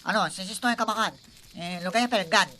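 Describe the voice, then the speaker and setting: Woman as a bright, brash Creole island buddy. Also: mid-range voice, quick pace, a little hiss or hum, natural voice. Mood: clowning. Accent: Réunion Creole. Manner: blustery, clowning, exclamatory. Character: brash, dim-witted Réunion island man, teasing buddy. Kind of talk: comic radio serial acting.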